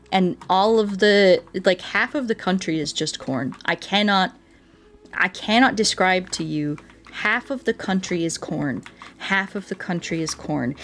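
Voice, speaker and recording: An American woman chatting casually as she streams a game, telling things in an animated way and repeating herself for emphasis, with music behind her. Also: on the low side. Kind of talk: casual chat while playing a game, reading viewer comments.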